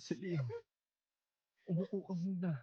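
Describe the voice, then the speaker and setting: A Filipino woman acting in a radio drama as a worried companion, speaking with husky, urgent concern. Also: on the low side, measured pace, dark tone, no echo, highs cut off. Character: worried companion looking after a grieving woman.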